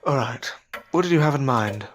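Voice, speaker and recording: A man's voice: mid-range voice, lively intonation, normal speed, soft background music, close to an everyday voice. A man playing a self-doubting novice magician, desperate to succeed, in an audio drama, with formal, old-fashioned phrasing. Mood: frustrated, reluctant. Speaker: voice actor in an amateur audio drama.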